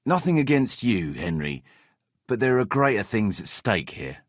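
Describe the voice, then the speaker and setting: An actor playing a wary, self-serving medieval mercenary captain in a video game, talking in a sardonic, pragmatic, patronizing way. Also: male voice, fairly low pitch, sing-song, moderate pace, no echo, clean studio sound. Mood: sardonic lecturing. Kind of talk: video game character dialogue.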